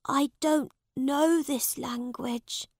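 A woman's voice: high voice, fairly even intonation, very slow, dry recording, clean studio sound, pitched above the performer's own voice, full character voice. A woman voicing a frightened poor boy who is mistaken for a prince, pleading and crying out in a storybook reading. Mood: flustered.

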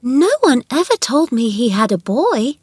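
A determined young storybook girl, acted by a woman reader, speaks high and clearly forthright. She is bold and full of questions, with an unhurried delivery in a dry, close sound. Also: sing-song, no room sound, heavily acted. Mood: surprised. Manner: forthright, inquisitive. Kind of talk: children's storybook character voice.

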